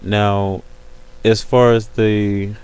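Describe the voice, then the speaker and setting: A man with a low, smooth voice talks casually and in slang, as host of a webcam call. His delivery stays fairly flat and even, with frequent repeated tag phrases. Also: drawn-out words, poor audio. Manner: slangy, repeats "you know what I'm saying". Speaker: host of an online video call. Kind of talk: casual chat.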